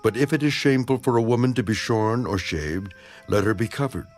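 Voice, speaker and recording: A man giving a dramatized scripture reading in a solemn, declamatory, measured manner. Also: medium pitch. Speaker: narrator of a dramatized Bible reading. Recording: voice over background music. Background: music.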